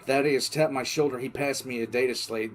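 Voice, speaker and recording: A man playing a war-weary military commissar, recounting a crisis in halting, emphatic audio drama narration. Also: mid-range voice, steady tone, slight background noise, close to an everyday voice, poor audio. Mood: grim, reflective. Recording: music under the voice.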